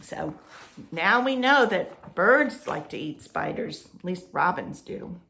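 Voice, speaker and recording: Female children's storyteller, unhurried and slightly gravelly, expressive read-aloud with simple explanations, recorded in a roomy, echoey space. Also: on the low side. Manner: explains to young listeners with simple questions and expressive emphasis.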